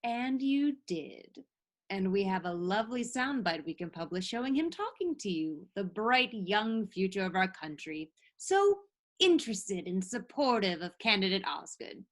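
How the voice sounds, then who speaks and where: Woman playing an animated, sugary campaign handler. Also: mid-range voice, measured pace. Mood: sugary, dismissive. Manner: sugary, condescending. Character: saccharine, brisk female campaign press handler. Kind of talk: theatrical musical comedy acting.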